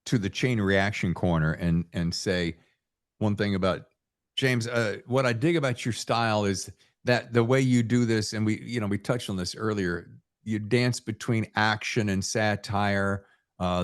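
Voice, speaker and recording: Male podcast host, lower register, folksy and enthusiastic, chatting informally with guests. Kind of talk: casual interview chat.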